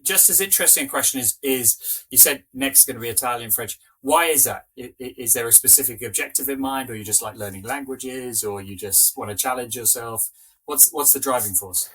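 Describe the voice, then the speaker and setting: A man talking as a guest on a podcast, chatting in a relaxed way with frequent fillers. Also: medium pitch, a little fast, slightly rough. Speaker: podcast guest, business owner. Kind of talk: casual interview chat.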